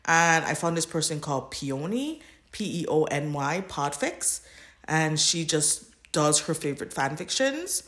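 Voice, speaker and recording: A woman's voice: sits low, very animated, measured pace, a bit bright. A woman co-hosting a podcast, chatting informally and animatedly and often acting out her own thoughts. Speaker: podcast co-host. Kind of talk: casual chat about fan fiction recordings. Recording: echoey, roomy voice pickup.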